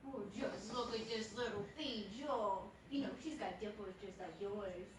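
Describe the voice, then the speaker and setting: A woman's voice: medium pitch, normal speed, natural voice. A woman playing a sweet, earnest, naive young daughter in a live stage radio play. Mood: doting. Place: theatre stage, live performance. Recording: echoey distant stage, some noise.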